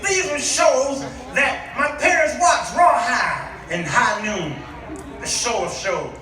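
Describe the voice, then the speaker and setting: High-pitched American preacher in an echoing hall. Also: male voice, sharp tone, some chatter behind. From Chicago, United States. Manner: theatrical, exclamatory, drawn-out emphasis with rhetorical questions. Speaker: guest preacher. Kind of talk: nostalgic sermon to a congregation. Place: church hall, preaching to a congregation. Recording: echoey hall, distant microphone.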